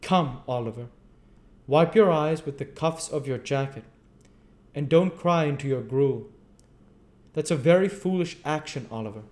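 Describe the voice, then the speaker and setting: A male narrator acting out a self-important storybook parish official, pitched well up and delivered forcefully. He declaims pompously, bullying those below him and fawning on those above, with rough grammar. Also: a little slow, long pauses, close to an everyday voice. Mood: pompous, softened. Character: pompous, bullying parish beadle, scolding and obsequious. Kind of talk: audiobook character voice.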